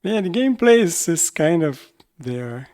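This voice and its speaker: Unhurried man, low-key game stream chat. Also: very animated, a little mellow, a little hiss or hum. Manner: low-key, thinking aloud. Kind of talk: casual live gameplay commentary.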